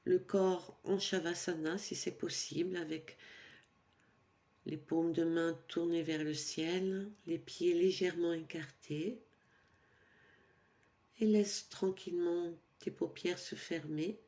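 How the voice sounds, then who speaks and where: A woman leading a guided yoga nidra meditation for sleep as an instructor, with a calm, soothing, instructional delivery and pauses. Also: on the low side.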